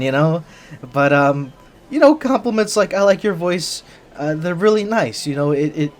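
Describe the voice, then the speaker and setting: A man acts out a young bunny-boy hybrid in an intimate ASMR roleplay. He is bashful and easily flustered, tripping over his words in a mid-pitched voice. He speaks straight to the listener with earnest, gentle sweetness. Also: lightly acted. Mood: flattered, bashful. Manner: stammering, hesitant, bashful, earnest.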